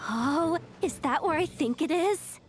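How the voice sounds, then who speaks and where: A woman voicing a tiny, bubbly girl companion in a video game, with a very high, squeaky, childlike voice and an eager, chatty manner. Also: animated, a little slow, faint music behind. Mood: excited. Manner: eager and chatty, wide sing-song swoops.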